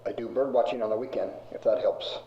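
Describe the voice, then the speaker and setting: An actor plays a wry, self-assured male scientist who runs a tech company in an analog horror film. His voice is mellow and dark, recorded in an echoey room on muffled tape. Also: medium pitch, steady tone, fast talker, a little hiss or hum, close to an everyday voice. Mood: wry, smug. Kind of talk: found-footage horror film acting.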